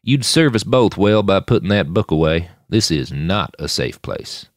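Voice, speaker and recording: A man plays a middle-aged backwoods smuggler in an audio story. He is low-voiced and gravelly, with terse, cautionary phrasing. The sound is dry and close. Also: expressive, normal speed, no echo. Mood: guarded, warning. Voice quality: weathered, gravelly.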